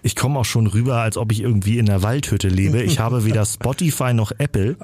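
A man's voice: on the low side, brisk, warm tone. A man co-hosting a podcast in casual, conversational chat. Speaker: podcast co-host.

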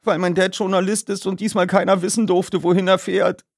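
A man's voice: high voice, little pitch movement, creaky, no room sound, clean studio sound, voice pushed up. Young boy for a detective storybook, played by a man: anxious, sad, thin raised voice, hesitant and upset. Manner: halting, upset.

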